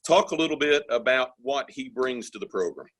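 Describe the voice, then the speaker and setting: A man hosting a video call interview, with a middle-pitched voice, asks long, rambling questions and hesitates often. He talks at an everyday pace, like someone stringing thoughts together as he goes. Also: expressive, moderate pace, a bit bright. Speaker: associate athletic director hosting the series.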